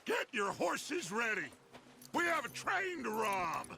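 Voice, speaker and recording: An actor playing a charismatic, commanding middle-aged outlaw gang leader in a western game, with a theatrical, oratorical delivery. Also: male voice, extremely high pitch, big pitch swings, slow, gravelly, full character voice. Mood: rallying. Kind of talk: dramatic western game acting.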